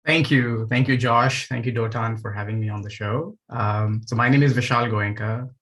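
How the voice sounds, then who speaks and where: A man from Nepal in his forties, a panelist and education founder, speaking on a video call in a measured, thoughtful way with frequent fillers. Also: mid-range voice, fairly even intonation, fairly bright tone. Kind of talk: online panel remarks.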